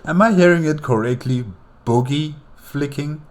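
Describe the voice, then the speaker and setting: A man's voice: a little high, moderate pace, soft background music. A man hosting a reaction video, commenting casually and thinking aloud with curious questions. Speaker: reaction channel host.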